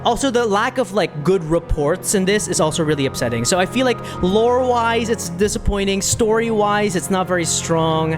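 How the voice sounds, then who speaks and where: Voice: mid-range voice, animated, moderate pace, hardly any pauses, over music. A game streamer chatting casually and thinking aloud while giving opinions to viewers in a conversational way.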